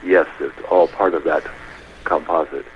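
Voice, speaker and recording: A man guest on a radio talk show, explaining health matters in a measured, anecdotal way, step by step. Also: low voice, fairly even intonation, a little hiss or hum, rough recording.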